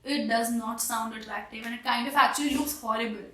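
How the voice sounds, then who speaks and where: A woman's voice: on the high side, steady tone, a little fast, fairly bright tone, roomy sound, recorded from a distance. A woman with an Hindi-speaking Indian accent giving earnest, encouraging English lessons to viewers. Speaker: English teacher.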